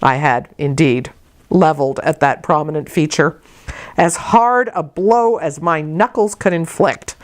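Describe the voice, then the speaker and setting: Low-pitched woman reading a classic novel. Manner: measured, careful reading. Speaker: audiobook narrator. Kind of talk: scripted literary narration.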